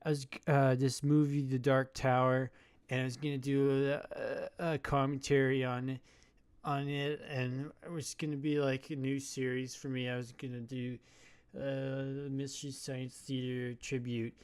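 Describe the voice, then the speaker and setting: A man's voice: mid-range voice, level tone, darkish tone, smooth. A man giving a rambling, hesitant video introduction, full of fillers and restarts. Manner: halting, hesitant, many fillers. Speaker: video commentator. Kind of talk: rambling monologue.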